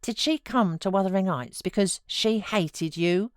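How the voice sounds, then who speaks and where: A woman's voice: mid-range voice, unhurried, clean tone, no echo, clean studio sound, close to an everyday voice. A woman voicing a stern, loyal housekeeper in a novel, forthright and reproachful.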